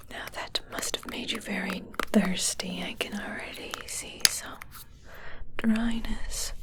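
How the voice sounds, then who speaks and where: A woman acts out a curious witch with a callous delight in testing her potions. She whispers in a breathy, deliberate way at a medium pitch. The delivery is coaxing and coolly menacing, made for an intimate ASMR roleplay. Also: steady tone, lightly acted. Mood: smug salesmanship.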